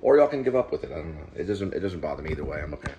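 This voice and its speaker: A man hosting his own online show, giving rambling, unscripted commentary in a halting, digressive way, as if thinking aloud. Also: very deep voice, sing-song, dark tone. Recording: clear background noise.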